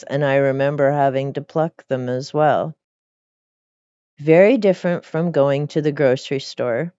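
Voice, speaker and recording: Female storyteller, low register, animated storybook delivery, dry lo-fi sound. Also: no room sound. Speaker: storyteller reading fairy tales. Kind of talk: expressive storybook reading with casual asides.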